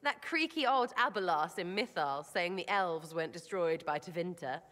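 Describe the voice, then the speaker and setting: A woman's voice: on the low side, expressive, moderate pace, a bit bright, big-room sound. A woman playing a brash, irreverent young elf rebel in a video game, with an English accent and blunt, slangy, cheeky delivery. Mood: mocking. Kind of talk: video game cutscene acting.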